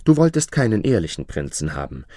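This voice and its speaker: A man's voice: lowish voice, sing-song, a little fast, warm tone, no room sound, studio quality. A man voicing a proud young prince who speaks coolly and with contempt. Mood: scornful.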